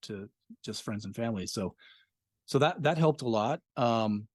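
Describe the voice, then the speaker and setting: A man's voice: on the low side, expressive, moderate pace, darkish tone, breathy edge, no room sound, clean studio sound. A middle-aged man, a podcast creator, talking casually as a guest, reflective and full of fillers. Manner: reflective, rambling with many fillers. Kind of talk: casual interview conversation.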